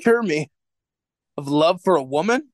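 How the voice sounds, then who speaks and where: A woman playing an anguished, fearful prisoner under torture in a radio drama, with halting, pleading, broken delivery. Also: low voice, animated, no echo, clean studio sound. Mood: weary, defeated. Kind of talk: theatrical radio drama acting.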